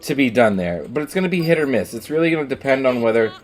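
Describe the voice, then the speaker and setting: A man's voice: medium pitch, animated, quick pace, faint music behind. A man streaming a game, rambling casually with lots of ums and the odd swear word. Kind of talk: casual chat while gaming. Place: at home, streaming.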